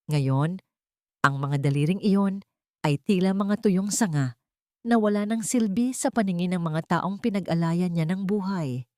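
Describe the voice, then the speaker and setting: A Filipino woman reading a scripted dramatic story in a measured, solemn and expressive way. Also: on the low side, no echo, studio quality.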